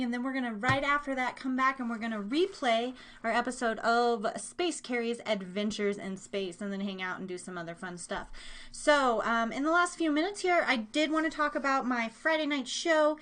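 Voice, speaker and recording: A high-voiced woman hosts a live podcast in an easygoing chat style. She rambles informally, thinking aloud as she works through her notes. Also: a bit bright. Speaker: podcast and live stream host. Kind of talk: casual live show chat.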